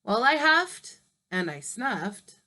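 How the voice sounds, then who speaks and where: A woman reading as a smooth-talking storybook wolf. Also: fairly high pitch, very animated, slow pace, sharp tone, heavily acted. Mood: persuasive. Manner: chatty, persuasive storyteller. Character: smooth-talking male wolf telling his side.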